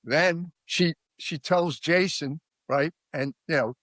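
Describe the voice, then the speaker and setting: A man's voice: fairly high pitch, slow, fairly bright tone, no room sound, studio quality. A man with a Philadelphia accent, retelling a crime case in a rambling, emphatic way on a podcast. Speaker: podcast guest. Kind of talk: casual true-crime discussion.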